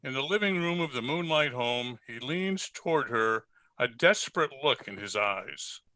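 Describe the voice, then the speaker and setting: A man's voice: mid-range voice, expressive, moderate pace, bright, forceful tone, no echo, poor audio. A man narrating a scripted old-time radio drama. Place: at home, recorded over a video call.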